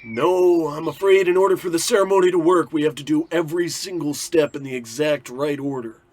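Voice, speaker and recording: An actor playing an earnest, pedantic police sergeant and priest, explaining things in an old-time radio comedy style. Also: male voice, fairly high pitch, a little fast, darkish tone, natural voice.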